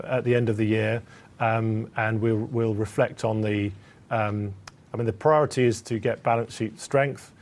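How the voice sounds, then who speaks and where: A man speaking as a company executive, answering analysts on an earnings call in a hesitant, halting, measured way. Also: medium pitch.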